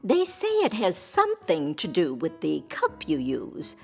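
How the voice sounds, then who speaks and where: A woman's voice: on the low side, wildly swooping pitch, a little slow, gravelly, a little mellow, faint music behind, heavily acted. A woman playing a prim, earnest ghost newspaper reporter, polite, wordy and a little hesitant, in an audio drama. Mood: earnest explaining. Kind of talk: audio drama character acting.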